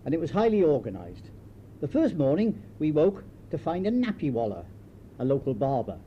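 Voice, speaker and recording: Older man, British accent, highish lively voice, wry memoir narration, muffled home tape with faint noise. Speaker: memoirist reading own writing.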